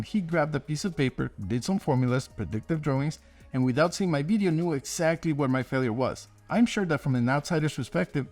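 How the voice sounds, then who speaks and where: A man's voice: on the high side, darkish tone. A man hosting his own channel as an inventor, narrating a scripted video update to viewers. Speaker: host and inventor.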